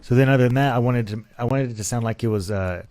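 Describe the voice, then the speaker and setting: A man's voice: medium pitch, quick pace. A man gives an informal tutorial on music production. He speaks in plain, everyday language, explaining his process casually as a musician who does the work himself.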